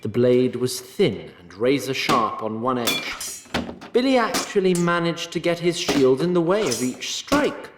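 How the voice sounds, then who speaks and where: A man narrating a scripted fantasy story in an expressive storytelling manner, with a roomy sound on his voice. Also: mid-range voice, a little slow, background noise, natural voice. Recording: roomy echo on voice.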